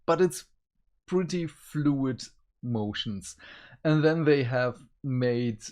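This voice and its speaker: A man's voice: medium pitch, lively intonation, very slow, darkish tone. A man co-hosting a review show in casual chat, speaking hesitantly as he searches for words. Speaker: co-host of an animation review show.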